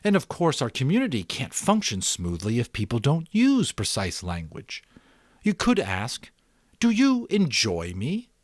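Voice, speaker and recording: A man's voice: mid-range voice, huge pitch swings, unhurried, no room sound, studio quality, voice pushed up. A man voicing a prim, precise mother in a storybook, explaining and correcting in a careful, pedantic way. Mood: prim, explanatory.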